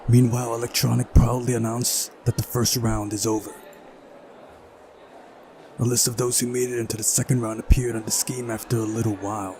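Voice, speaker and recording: A man's voice: medium pitch, flat delivery, fairly quick, a little mellow, a little hiss or hum. A man doing a visual novel playthrough, switching between reading narration aloud and casual commentary.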